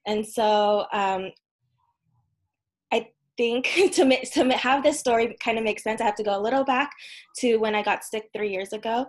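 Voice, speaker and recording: A woman's voice: fairly high pitch, normal speed. Earnest woman guest, lots of ums. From United States. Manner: earnest, frequent ums, soft laughs. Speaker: podcast guest. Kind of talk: casual interview conversation.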